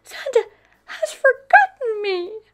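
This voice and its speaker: A woman voicing an earnest, excitable little girl pig with a childlike manner in a storybook. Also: slow, breathy edge, exaggerated voice. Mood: sad. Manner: high, childlike, exclamatory.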